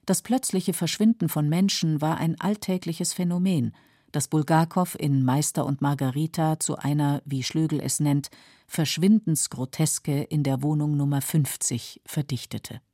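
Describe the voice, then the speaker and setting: A woman narrating a scripted radio documentary in a measured, sober manner. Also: deep voice, fairly even intonation, mellow, no echo, clean studio sound. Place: dry studio.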